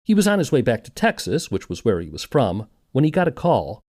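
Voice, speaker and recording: A man's voice: medium pitch, wildly swooping pitch, a little fast, a little mellow. A man narrating a scripted documentary podcast as its host and interviewer.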